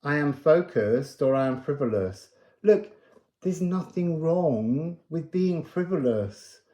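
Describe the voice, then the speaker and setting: Casting: androgynous, mellow spiritual card reader, unhurried and digressive, informal delivery with stressed words, roomy echoing recording. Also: mid-range voice, lively intonation. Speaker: tarot and oracle card reader. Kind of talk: casual, rambling spiritual card reading.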